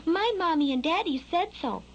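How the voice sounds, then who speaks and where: A woman's voice: very high voice, animated, moderate pace, muffled recording, slight background noise, pitched above the performer's own voice. A woman voicing a naive, wistful little skylark chick in a storybook, with a childlike way of talking. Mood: matter-of-fact. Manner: childlike, matter-of-fact.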